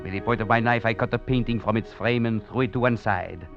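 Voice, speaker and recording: An actor playing a proud, half-crazed fugitive master thief, narrating theatrically in a 1940s radio drama. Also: male voice, on the low side, fast talker, lightly acted. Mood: resolved. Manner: theatrical, grandiose, brooding. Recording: dull vintage 1940s radio with music behind.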